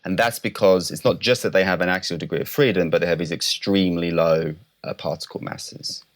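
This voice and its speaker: Deep-voiced male scientist explaining. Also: fairly even intonation. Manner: measured, precise, qualifying definitions step by step. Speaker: scientist, interview guest. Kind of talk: explanatory interview talk.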